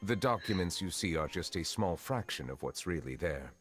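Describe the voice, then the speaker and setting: A man voicing a courteous, reserved, centuries-old chief justice in a video game, speaking formally and with measured composure. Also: deep voice, expressive, a bit bright, faint music behind. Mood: composed. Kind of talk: English video game dub acting.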